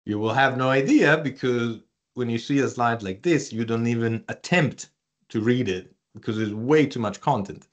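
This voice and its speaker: A man's voice: mid-range voice, normal speed. A man from Italy with an Italian accent, presenting an online workshop and chatting with the audience, with many fillers and restarts. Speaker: research communication presenter.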